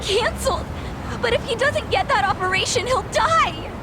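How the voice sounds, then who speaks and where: An anxious young daughter from a video game, with an extremely high voice. She speaks politely and timidly, hesitating as she goes. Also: animated, normal speed, very noisy. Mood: distressed.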